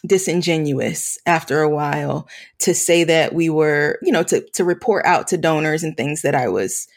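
A woman speaking as an interview guest, reflective and earnest, measured with pauses. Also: sits low. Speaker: guest, campus ministry leader.

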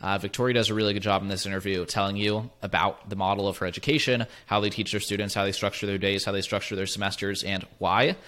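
A young man in his twenties introduces a guest on his podcast, stringing long sentences together with eager energy. He speaks quickly in a somewhat low voice. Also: a bit bright. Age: 20s. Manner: quick, enthusiastic, run-on sentences. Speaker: podcast co-host.

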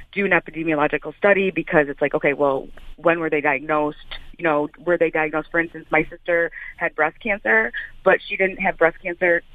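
A woman's voice: deep voice, no echo. A woman in her late thirties from Michigan giving an earnest conversational account as an interview guest, repeating phrases for emphasis. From Michigan, United States. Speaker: interview guest, community activist. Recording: thin phone-like line.

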